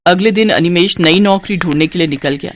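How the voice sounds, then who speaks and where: A woman's voice: on the low side, fairly quick, slight background noise. A woman storyteller narrating a scripted moral story.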